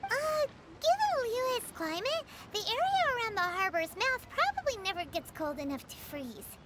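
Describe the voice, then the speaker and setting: Very squeaky, chirpy little fairy girl. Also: normal speed, very noisy. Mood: proud, explaining. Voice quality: squeaky, childlike. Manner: speaks of self in third person, excitable. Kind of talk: video game voice acting. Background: cheerful game music.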